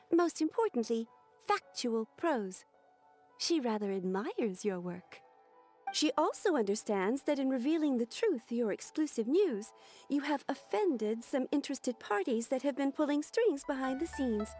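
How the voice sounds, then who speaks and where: A poised young secretary speaks in a high, clear-cut formal manner. She is courteous and measured, in video game cutscene style, with faint music underneath. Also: a little mellow.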